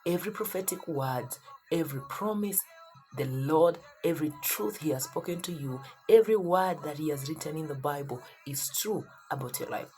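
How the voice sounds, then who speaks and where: Animated low-voiced woman preacher. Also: normal speed, soft background music, rough recording. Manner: emphatic, repeats phrases for effect. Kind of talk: motivational sermon.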